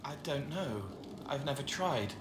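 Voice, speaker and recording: An actor playing a gentle, troubled young spirit in human form in a video game, with a halting, haunted delivery. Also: male voice, medium pitch, animated, natural voice. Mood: gentle, uncertain. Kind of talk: video game dialogue acting. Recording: echoey, with clear background noise.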